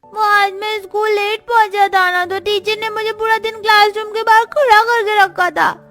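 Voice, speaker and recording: A woman's voice: very high-pitched, flat delivery, moderate pace, a bit bright, voice pushed up. A woman voicing an upset, plaintive little schoolboy in a cartoon story. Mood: tearful.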